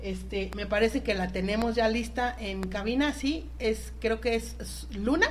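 A woman's voice: fairly high pitch, a little slow, slight background noise. A woman with a Mexican accent hosting a chatty radio show. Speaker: radio host.

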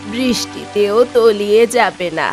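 Woman as a creaky, caring old granny. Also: medium pitch, a little slow, natural voice. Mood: caring, coaxing. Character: kindly-seeming old granny, a witch in disguise. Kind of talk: cartoon fairy-tale voice acting. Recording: loud music under the voice.

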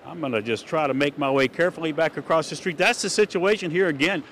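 A man's voice: a little high, fast, fairly bright tone. An older man doing a rambling, conversational live news report from a flooded street at night, speaking into a handheld mic. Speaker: field reporter. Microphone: handheld station mic. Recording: faint street noise outdoors.

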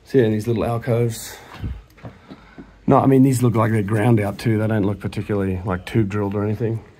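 Low-voiced man giving a casual walkthrough. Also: steady tone, fairly quick, mellow, slight background noise. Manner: informal, unscripted asides. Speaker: host of an exploration video, tour leader.

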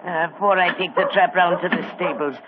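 An actor playing a loyal, deferential old Scottish manservant in a 1940s radio drama. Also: male voice, high-pitched, steady tone, brisk, close to an everyday voice. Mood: mournful. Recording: dull, very noisy old radio broadcast.

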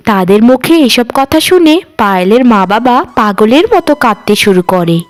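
A woman narrator reading a scripted fairy tale for a cartoon. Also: medium pitch.

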